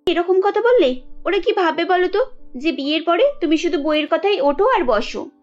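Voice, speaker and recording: A woman's voice: very high voice, expressive, moderate pace, muffled recording, soft background music. A woman voicing a young Bengali housewife in a family cartoon, with an emphatic, reproachful way of talking. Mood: persuasive, insistent. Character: young Bengali housewife Kajal, dutiful but quick to sulk and scold.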